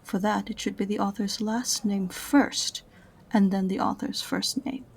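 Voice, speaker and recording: Woman acting a creaky, condescending witch librarian. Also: medium pitch, steady tone, unhurried. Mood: prim, grudging. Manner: prim, condescending, dry. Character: aloof, unenthusiastic, sarcastic young witch librarian. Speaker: voice actor in amateur audio roleplay. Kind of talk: scripted fantasy audio roleplay acting.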